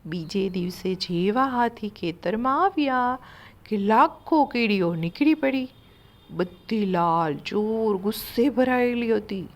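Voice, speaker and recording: A woman storyteller narrating a children's moral tale in an expressive, deliberate way. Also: mid-range voice.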